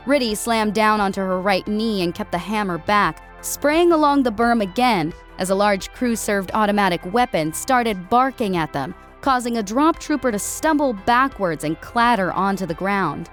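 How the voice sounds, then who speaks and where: A woman's voice: mid-range voice, expressive, moderate pace, hardly any pauses, soft background music. A woman reading scripted narration of a military science fiction story as an audiobook narrator.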